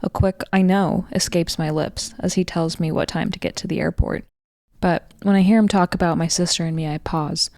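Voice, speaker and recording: A woman's voice: on the low side, steady tone, normal speed, darkish tone, no echo, clean studio sound. A woman reading a scripted first-person personal essay as its writer.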